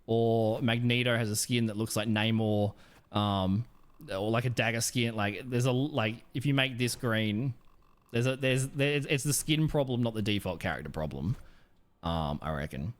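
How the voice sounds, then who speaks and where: A man's voice: mid-range voice, normal speed. A man streaming a game live, chatting casually with viewers and giving rambling, blunt commentary full of slang and some swearing.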